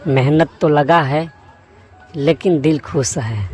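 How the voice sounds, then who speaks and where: Man acting slow, earnest village farmer. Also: fairly high pitch, a little mellow, faint music behind. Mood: pleased, hopeful. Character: hardworking, honest male village farmer. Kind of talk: cartoon moral-story character acting.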